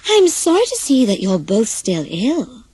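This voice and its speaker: Woman playing a high, husky, motherly little hen. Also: wildly swooping pitch, measured pace, darkish tone, dry recording, exaggerated voice. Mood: knowing sympathy. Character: wise, patient motherly little hen in a storybook.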